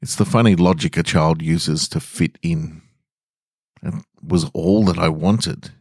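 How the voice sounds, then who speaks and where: A man's voice: sits low, fairly even intonation, a little slow, darkish tone, no room sound, studio quality. An Australian man hosting a podcast and reading stories aloud. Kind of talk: casual podcast intro and story reading.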